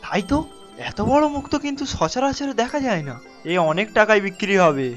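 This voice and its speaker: A man playing a sly, envious village fishmonger in an animated folk tale, chummy on the surface, with a wheedling, theatrical delivery. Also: high-pitched, a little fast. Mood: impressed. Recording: dull lo-fi sound, music underneath.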